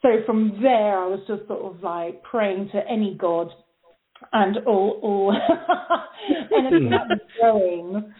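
A woman opera singer from England and Austria, telling stories in a lively, laughing, chatty way as a guest on a talk show. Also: medium pitch, takes it slowly. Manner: chatty, laughing, animated storyteller. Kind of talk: casual chat. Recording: tinny phone line.

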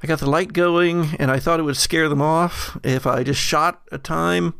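A man's voice: on the high side, steady tone, normal speed, creaky, a bit bright, clean tone, no echo, studio quality, pitched above the performer's own voice. A man voicing a frightened, stammering, slangy young man in a tabletop horror game. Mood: rattled, jittery.

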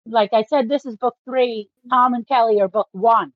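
High-voiced woman chatting about books. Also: normal speed, no room sound. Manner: explanatory, enthusiastic fan. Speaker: podcast co-host. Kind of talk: casual book discussion. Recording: slightly dull, dry recording.

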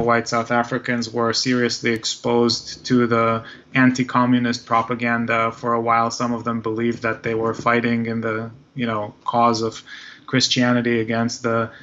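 A man's voice: medium pitch, fairly bright tone. Man in dull lo-fi audio, searching for words. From Israel. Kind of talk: thoughtful conversation.

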